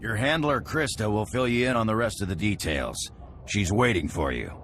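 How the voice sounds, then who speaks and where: A man's voice: lowish voice, lively intonation, normal speed, noisy background, natural voice. An actor playing a dry, wry male official of a hunting contest in a game cutscene.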